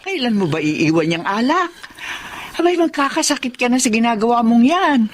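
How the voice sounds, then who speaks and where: A woman's voice: on the high side, lively intonation, normal speed, a little hiss or hum, natural voice. A woman acting a worried, loving elderly mother who nags and pleads, in a Filipino radio drama. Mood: scolding, worried.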